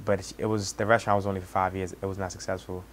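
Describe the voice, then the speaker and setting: A young man in his twenties from Senegal with a Senegalese accent, Wolof his first language, telling his story as a cook in halting speech with many restarts. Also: sits low, flat delivery, slight background noise. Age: 20s. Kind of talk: casual personal storytelling.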